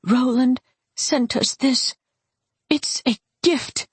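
A woman's voice: low-pitched, moderate pace, fairly bright tone, breathy edge, no echo. A woman voicing an impulsive, distraught young were-tiger woman in an audiobook, with emphatic, agitated delivery. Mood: upset, urgent. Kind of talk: audiobook character dialogue.

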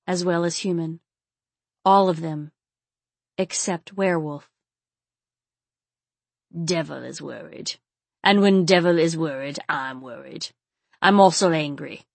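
Grim protective enforcer, male played by a woman, low growling delivery, measured and stern, audiobook. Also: no room sound. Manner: grave, measured. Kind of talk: audiobook character acting.

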